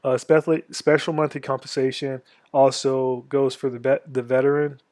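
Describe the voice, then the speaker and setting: A man in his twenties from Florida, an army veteran walking viewers through a tutorial in a casual, halting way, stuffed up from a cold. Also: medium pitch, little pitch movement, darkish tone, no echo, clean studio sound. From Florida, USA. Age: 20s. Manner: halting, many ums and restarts. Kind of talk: casual screen-share tutorial.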